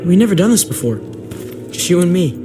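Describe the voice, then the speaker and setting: An actor playing an anxious, loyal teenage nephew in a video game drama. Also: male voice, high voice, big pitch swings, a little fast, over music. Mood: earnest, hushed.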